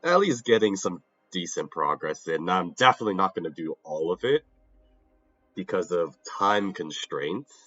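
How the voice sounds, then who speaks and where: A fairly low-voiced young man, slightly nasal from a cold, chats loosely on a gaming stream. His pitch swings widely as he rambles and restarts his sentences. The recording is a bit dull, with faint game music underneath. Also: animated, normal speed, a bit bright. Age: young adult. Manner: rambling, self-interrupting, laughs often. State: a little sick and tired. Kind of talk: casual livestream chat while gaming.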